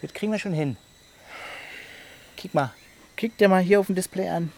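A man's voice: high-pitched, normal speed, gravelly, darkish tone, a little hiss or hum. An actor playing a brash, self-assured village man in a naturalistic radio drama, talking in breezy, colloquial slang. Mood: breezy, insistent.

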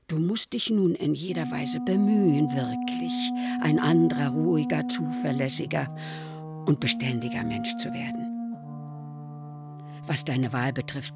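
An actress playing a grave, worried middle-class mother of long ago in a radio play, formal, measured and reproachful. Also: deep voice. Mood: stern concern. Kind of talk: radio play, letter reading and recollection.

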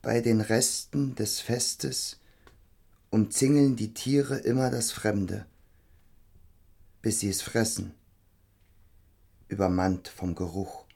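An actor playing a shy, self-doubting young poet in an intimate radio drama, halting and terse. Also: male voice, lowish voice, fairly even intonation, gravelly, mellow, full character voice. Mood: hesitant, solemn.

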